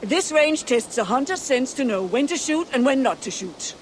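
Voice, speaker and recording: A woman's voice: high voice, animated, normal speed, slight background noise. A woman voicing a confident Viking huntswoman in a video game, keen and teasing as she instructs. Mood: instructive. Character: confident Viking huntswoman who runs warrior contests. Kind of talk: video game cutscene acting.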